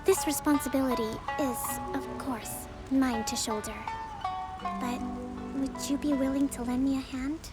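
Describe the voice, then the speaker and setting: An actress playing a young priestess-leader who speaks in a calm, measured, reassuring way, in a video game. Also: female voice, high voice. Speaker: divine priestess of an island. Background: game music.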